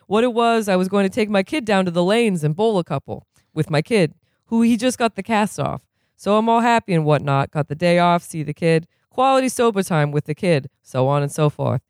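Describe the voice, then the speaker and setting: A reader putting on a profane, rambling man in recovery who is quick to rage and keeps asking if you know what he's saying. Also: mid-range voice, lively intonation, no room sound, studio quality, close to an everyday voice. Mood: aggrieved. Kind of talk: reading a novel aloud, putting on a character.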